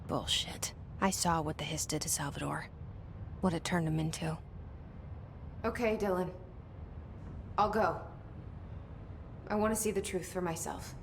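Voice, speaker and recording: A woman's voice: fairly low pitch, fairly even intonation, measured pace, gravelly, long pauses, a little hiss or hum. An actress playing a tough young woman who talks tersely and bluntly and swears. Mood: defiant.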